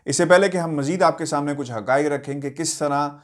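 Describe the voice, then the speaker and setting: Pakistani man giving halting political commentary. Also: on the high side. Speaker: news commentator and host. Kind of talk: political news commentary to viewers.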